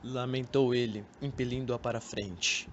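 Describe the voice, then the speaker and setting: A man's voice: mid-range voice, steady tone, measured pace, lo-fi sound. Young Brazilian man narrating, faint background noise. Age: young adult. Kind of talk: scripted literary radio drama narration. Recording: amateur recording with background noise.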